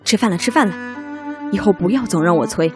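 A woman's voice: mid-range voice, quick pace, close to an everyday voice. A woman acting in a radio drama as a busy mother of two, chiding but caring, with an admonishing maternal manner. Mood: hurried, firm. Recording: music bed under the voice.

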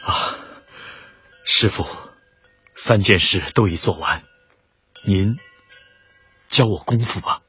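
An actor playing an earnest, intense martial arts disciple bent on revenge in a radio drama, with a husky, breathy voice. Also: male voice, fairly low pitch, takes it slowly, long pauses, sharp tone, muffled recording, faint music behind, full character voice. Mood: earnest, puzzled. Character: earnest male kung fu disciple, obsessed with revenge. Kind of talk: Chinese radio drama acting.

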